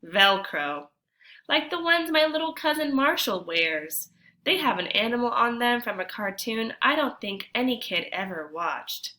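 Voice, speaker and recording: A woman teacher reading a picture book aloud to children, expressive and deliberate, pausing to put questions to her young listeners. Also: on the high side. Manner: addresses children directly, deliberate and animated.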